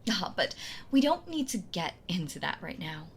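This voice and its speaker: A woman's voice: fairly low pitch, big pitch swings, a little hiss or hum. A woman playing a possessive yandere wife in an intimate audio roleplay, doting and condescending, with a sweetly menacing manner. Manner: slow, coddling, condescending, with sighs and soft laughs.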